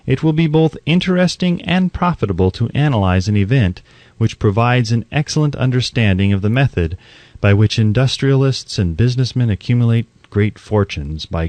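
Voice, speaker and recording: A man narrates an audiobook in a mid-pitched voice. His delivery is measured and emphatic, with a declamatory turn to the phrasing. The recording is dry and close to the microphone, with very little room sound. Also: a little mellow, no room sound.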